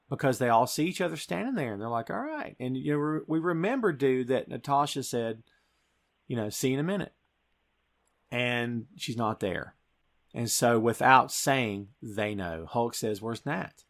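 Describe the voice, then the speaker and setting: Male podcast host, mid-pitched voice, informal and emphatic, casual movie recap style. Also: fairly bright tone. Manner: informal, emphatic storytelling, addressing co-host as dude. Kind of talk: casual film recap and discussion.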